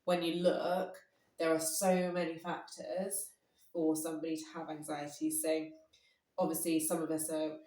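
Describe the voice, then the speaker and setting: A low-pitched woman, throaty from a cold, talking through a topic in a relaxed podcast chat. She fills pauses with ums and laughs easily. The sound is roomy and echoey, with her voice set back from the mic. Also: far from the mic. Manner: chatty, explaining with frequent ums and laughs. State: hoarse from a cold. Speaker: podcast co-host. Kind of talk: casual chat.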